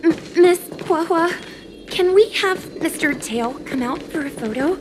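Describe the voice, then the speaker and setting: A woman voicing a bold, playful young street performer in a video game, excitable and dramatic. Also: extremely high pitch, a little slow. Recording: clear noisy ambience behind the voice.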